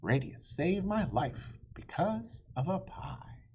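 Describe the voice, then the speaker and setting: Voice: medium pitch, animated, slow pace, dark tone, muffled recording, slight background noise, pitched above the performer's own voice. A man voicing a hearty storybook father turned dragon, dramatic and exclaiming. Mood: grateful.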